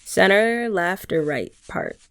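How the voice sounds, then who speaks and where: Playful woman with a gravelly edge. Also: medium pitch, expressive, slow. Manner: soft, playful and teasing, talking to the listener. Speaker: ASMR creator. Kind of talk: ASMR girlfriend roleplay.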